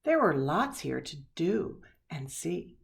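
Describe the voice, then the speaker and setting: A woman reading a children's picture book aloud expressively, with dramatic pauses and hushed asides. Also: sits low, very animated, gravelly, husky. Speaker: storybook reader.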